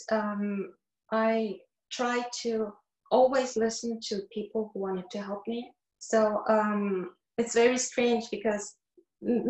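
A woman's voice: mid-range voice, a little mellow. A middle-aged woman from Korea, a flutist talking on a panel over a video call in an earnest way, searching for words between many fillers. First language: not English. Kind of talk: casual panel talk. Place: wood-panelled room indoors. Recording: echoey room on a video call.

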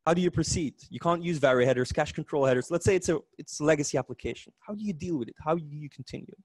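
A man in his forties with a Dutch accent, a native Dutch speaker, giving a technical meetup talk in a lively, joking way with frequent restarts. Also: a little high, very fast, husky, no echo. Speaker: conference speaker. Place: meetup room.

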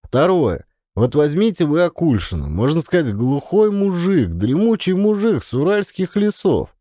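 A man's voice: fairly high pitch, big pitch swings, normal speed, warm tone, no room sound, studio quality, pitched above the performer's own voice. A man voicing a talkative, earnest, optimistic working-class camp inmate in an audiobook, speaking colloquially and emphatically. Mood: earnest, emphatic. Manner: colloquial, emphatic, counting off points.

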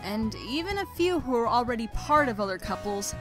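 Woman narrator reading a story with feeling, highish voice, soft music underneath. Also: expressive, fairly quick. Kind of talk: expressive scripted story narration.

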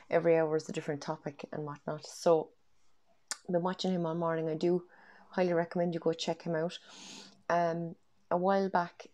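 A woman in her thirties with an Irish accent talks eagerly to camera from her bedroom, her voice a little low and stuffy from a cold. She rambles happily with frequent ums, recorded on a wired earphone mic. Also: dark tone. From Ireland. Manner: chatty and enthusiastic, lots of ums. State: congested from a cold. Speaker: tarot deck reviewer. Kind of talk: casual vlog chat. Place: bedroom at home, indoors.

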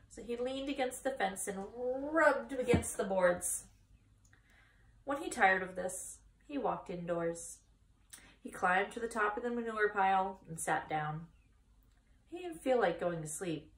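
A woman in her thirties reading a children's story aloud in a deliberate, expressive manner. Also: mid-range voice, reverberant. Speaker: story reader. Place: small indoor room against a bare wall.

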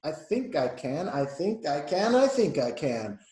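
A man's voice: on the high side, big pitch swings, unhurried, darkish tone, reverberant. Man voicing a kind, determined little female engine.

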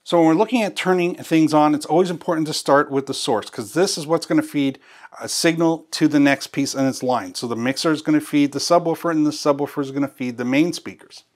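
A man's voice: fairly high pitch, brisk. Middle-aged man giving a step-by-step tutorial. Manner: casual, explanatory, step-by-step. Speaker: host of audio gear tutorial. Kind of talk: instructional walkthrough. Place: small indoor studio. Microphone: lavalier.

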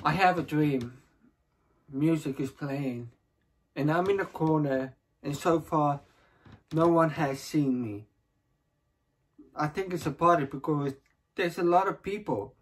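A man performing a dramatic stage monologue as an anxious, self-conscious dreamer, speaking in halting fragments. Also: a little high, slightly rough. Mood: uneasy, hushed. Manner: halting, short fragmented phrases. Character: anxious, self-conscious dreamer dreading their turn before staring eyes.

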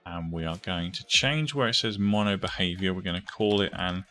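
A man's voice: low voice, normal speed, a bit bright, soft background music. A man hosting a step-by-step coding tutorial, informally thinking aloud as he goes. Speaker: tutorial host.

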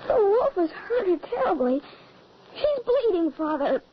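A woman's voice: very high voice, very animated, measured pace, full character voice. A woman playing a frightened, grieving young farm boy with trembling emotion in a 1940s radio drama. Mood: horrified. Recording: muffled, noisy 1940s radio recording.

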